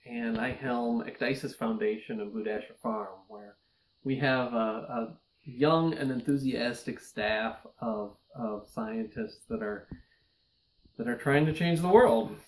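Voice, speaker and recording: A man's voice: medium pitch, a little slow, poor audio. A man in his forties, a nonprofit research director, speaking straight to camera in an earnest, urgent appeal from a small office. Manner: earnest, urgent, building to emphatic pleas. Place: office with bookshelves, indoors. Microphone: close mic, seated on camera.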